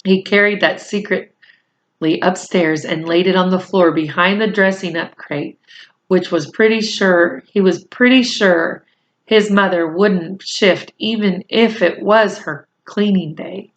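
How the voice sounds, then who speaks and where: A woman reading a children's novel aloud in a deliberate, measured storytelling manner. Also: lowish voice. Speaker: storybook reader.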